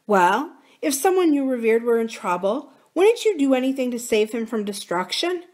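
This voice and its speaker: A woman voicing a sweetly pleading, manipulative young woman in an audiobook, with soft, drawn-out phrases. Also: fairly high pitch, very animated, pitched above the performer's own voice. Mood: coaxing, confiding. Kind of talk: audiobook character dialogue.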